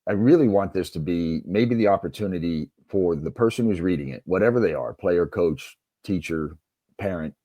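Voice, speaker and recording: Deep, mellow middle-aged man. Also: lively intonation, moderate pace, no echo, clean studio sound. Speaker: interview guest, basketball coach and author. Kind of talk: conversational interview answers.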